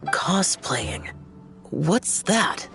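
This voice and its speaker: A reserved young male demon slayer from a video game. The voice is low and composed, with terse, formal delivery. Faint music sits behind it. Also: lively intonation, extremely slow, creaky, a bit bright, full character voice. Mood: puzzled, dry.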